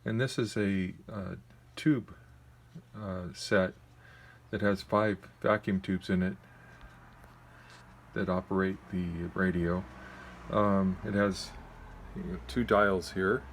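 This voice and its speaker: A man with a low-pitched voice talks casually through a hands-on demonstration. He is plain-spoken and a little halting, feeling his way as he goes. Clear noise sits behind him, as in an amateur home video. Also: a bit bright. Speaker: radio collector giving a demonstration. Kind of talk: casual unscripted product demonstration.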